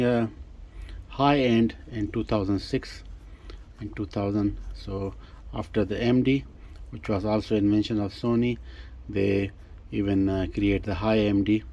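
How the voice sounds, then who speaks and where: Guy w a lowish voice showing off a gadget, non-native english, kinda halting, unscripted. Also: vocal fry, warm tone, muffled recording. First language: not English. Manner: hesitant, halting, step-by-step explaining. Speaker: presenter demonstrating a vintage gadget. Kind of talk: casual unscripted product demonstration.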